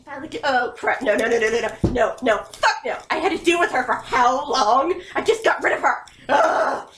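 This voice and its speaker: A woman co-hosting a puppet review with excitable, sarcastic comedic banter. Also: high-pitched, moderate pace, a little hiss or hum. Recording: roomy, echoing home recording.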